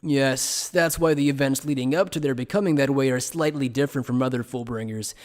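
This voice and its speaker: A man playing an evasive, playful scholar who explains things in a polite, measured, teasing way in an anime fan dub. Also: mid-range voice, close to an everyday voice. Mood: polite, explanatory. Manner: polite, measured, teasing lecturer with coy understatement.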